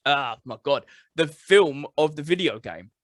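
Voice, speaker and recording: A man's voice: on the high side, animated, a little slow, dry recording, clean studio sound. A man co-hosting a podcast in casual chat, stating blunt, emphatic opinions. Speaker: podcast co-host.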